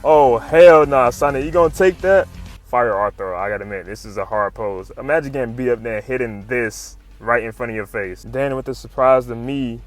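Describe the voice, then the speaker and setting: Slangy young American man, music behind him. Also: mid-range voice. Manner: slangy, playful, reacting like a fan. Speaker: YouTube comic commentator. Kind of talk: excitable casual recap commentary.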